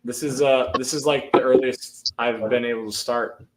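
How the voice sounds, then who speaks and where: A man hosting a casual car livestream, rambling and restarting as he talks in quick bursts. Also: medium pitch, steady tone, heavy background noise. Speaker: livestream host.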